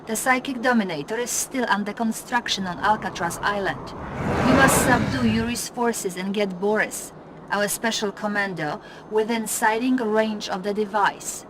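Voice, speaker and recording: A woman's voice: medium pitch, normal speed, heavy background noise, close to an everyday voice. A woman playing a composed Soviet intelligence officer, formal and deferential as she briefs a commander in a video game. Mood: businesslike. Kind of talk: scripted game mission briefing.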